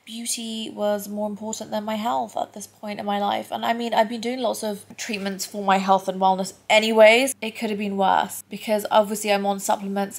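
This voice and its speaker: Young woman, stuffy congested voice with a gravelly fry, candid chatty vlogger talking into a laptop in bed. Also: medium pitch. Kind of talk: casual recovery vlog to camera. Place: bedroom, indoors.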